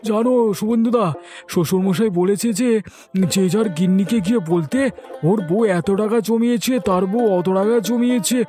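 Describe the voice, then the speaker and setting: A man's voice: high-pitched, normal speed, mellow, faint music behind, lightly acted. A man voicing a sly, henpecked young village husband in a folk-tale cartoon, with an eager, exaggerated delivery. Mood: grateful, pleased. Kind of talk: cartoon voice acting.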